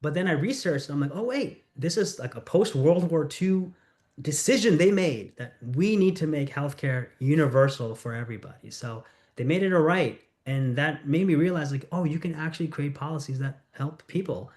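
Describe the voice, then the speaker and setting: A man's voice: on the high side, lively intonation, darkish tone. A middle-aged man from the United States, a congressional candidate answering interview questions in an earnest, storytelling way. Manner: earnest, storytelling, quick asides.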